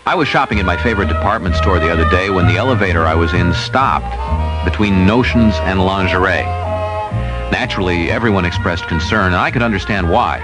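An American man telling a humorous first-person story in a radio commercial, with a deadpan manner. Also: deep voice, fairly quick, over loud music, low-quality recording. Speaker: commercial spokesman.

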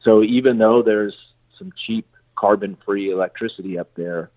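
A man's voice: fairly low pitch, normal speed, dry recording. A man, an energy economist, explaining a point plainly in an interview clip. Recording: dry phone-line audio.

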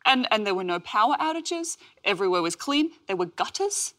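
A woman's voice: mid-range voice, sing-song, a little fast, pushed and bright. A woman, answering interview questions in an earnest, reflective way. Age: 20s. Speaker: interview guest, athlete.

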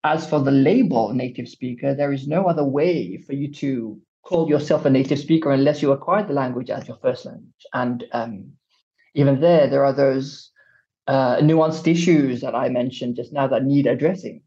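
Gravelly, hesitant Malaysian man, dull sound. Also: medium pitch, normal speed, dark tone. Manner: hesitant, many fillers and self-corrections. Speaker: interview guest on language. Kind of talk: thoughtful radio interview answers.

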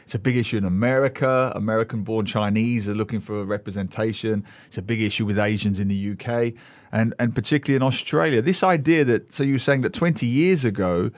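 A man's voice: lowish voice, fast, no echo. A man co-hosting a radio interview in a studio, with wry, joking remarks. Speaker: radio co-host.

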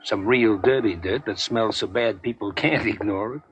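An actor playing a chatty, knowing, informal gangster sidekick in a 1940s radio drama. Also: male voice, on the low side, moderate pace, fairly bright tone, a little hiss or hum, lightly acted. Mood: cynical, knowing. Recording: vintage 1940s radio broadcast.

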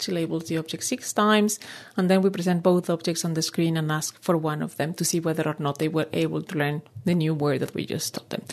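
A woman researcher walks through her experiments in careful explaining speech. Her voice sits fairly low. She restarts her phrases now and then as she explains. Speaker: baby lab researcher.

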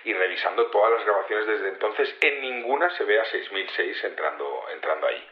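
An actor playing a patient but hesitant security investigator being interviewed in a naturalistic audio drama, explaining things colloquially with repetitions and tag questions. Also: male voice, fairly low pitch, animated, a little fast, roomy sound, lo-fi sound, natural voice. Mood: hesitant, explanatory. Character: male security investigator, patient, hesitant, self-assured in his theory.

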